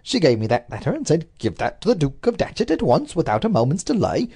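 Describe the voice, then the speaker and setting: A reader voicing a deferential household servant who answers apologetically. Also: male voice, fairly high pitch, huge pitch swings, fast talker, a little mellow, husky, pitched above the performer's own voice, exaggerated voice. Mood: flustered.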